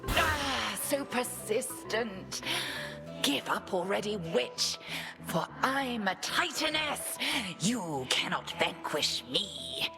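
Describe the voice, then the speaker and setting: An actress playing a proud, stern witch titaness mentor in a video game, speaking in a grand, measured, archaic way. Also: medium pitch, big pitch swings, music in the background. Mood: grand, taunting.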